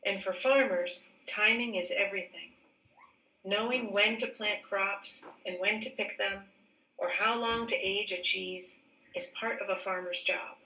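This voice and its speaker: A woman teacher reading a children's picture book aloud in a careful, expressive, clearly phrased way. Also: medium pitch, measured pace. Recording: roomy echo, distant microphone.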